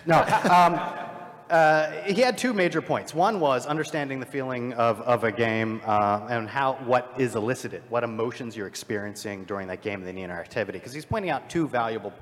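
A man on a live convention panel, thinking aloud with frequent restarts and lively jumps in emphasis. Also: mid-range voice, fairly quick. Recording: hall mic with long reverb.

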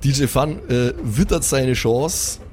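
A man's voice: mid-range voice, slow, a little mellow. A man narrating an improvised tabletop audio play as its game master.

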